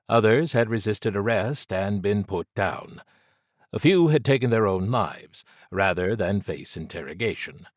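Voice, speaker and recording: A man's voice: lowish voice, no room sound, clean studio sound. A man reading scripted dramatic fiction as an audiobook narrator.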